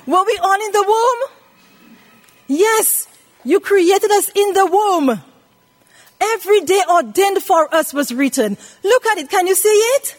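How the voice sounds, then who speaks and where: A woman's voice: very high voice, expressive, measured pace. A woman in her eighties preaching a scripture lesson, emphatically repeating phrases and putting questions to her listeners. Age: 80s. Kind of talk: sermon teaching from scripture.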